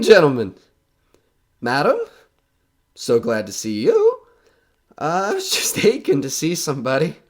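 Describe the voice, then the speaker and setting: A man playing a nervous radio reporter who is coming unravelled in a horror audio drama, talking in a halting, rambling way. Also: a little high, full character voice.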